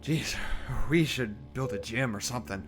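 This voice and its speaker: A man's voice: medium pitch, normal speed, over music, natural voice. A man acting in an audio drama as a hesitant, awkward facility worker. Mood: awkward, halting. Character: hesitant, awkward male facility worker making small talk.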